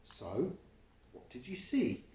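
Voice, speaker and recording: A man voicing a storybook boy's calm, encouraging sister, with a gentle advising manner. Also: on the high side, takes it slowly, far from the mic, voice pushed up, exaggerated voice. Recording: roomy, echoey home recording.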